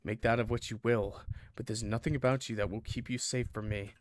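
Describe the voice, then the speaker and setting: Man acting as visual novel villain, male killer, low and slow, menacing, bitter, dry close recording. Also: fairly even intonation, no room sound, lightly acted. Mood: seething threat.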